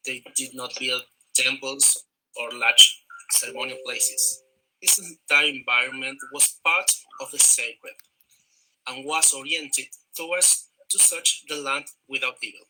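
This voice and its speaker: Man, Argentine Spanish accent, native Spanish speaker, halting with many fillers, very bright tone, video call mic. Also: medium pitch, fairly even intonation, low-quality recording. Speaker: tourism presenter. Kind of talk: slide presentation over a video call.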